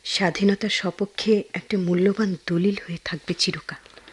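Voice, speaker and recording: An actress with a Bangladeshi accent playing an earnest, devoted, quietly lonely woman, with soft, heartfelt radio drama delivery. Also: mid-range voice, fairly even intonation, normal speed, breathy edge, lightly acted. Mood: earnest, admiring. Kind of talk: Bengali radio drama acting.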